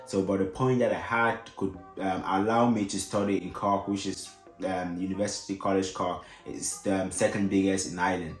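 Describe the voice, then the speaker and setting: A young man with French as his first language, talking through advice in a loose, rambling way full of restarts and fillers. His voice sits very low. The room around him rings with echo, and a little music plays softly underneath. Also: fairly even intonation, normal speed. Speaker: vlogger. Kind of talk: casual advice vlog. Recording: echoey room with faint music.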